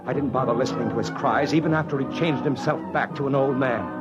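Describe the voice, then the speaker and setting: A man's voice: mid-range voice, fast, close to an everyday voice. A man acting a desperate journalist father in an old radio drama, both speaking and narrating in hard-boiled style. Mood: tense narration. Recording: dull old radio recording, loud music behind.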